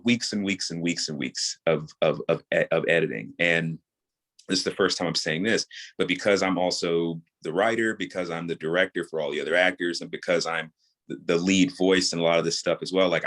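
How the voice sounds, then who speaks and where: A man with a notably low-pitched voice speaks as an interview guest. He explains things in a measured, deliberate, reflective way, as a creator describing his craft. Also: a bit bright. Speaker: guest, audio drama creator and voice actor. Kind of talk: reflective podcast interview talk.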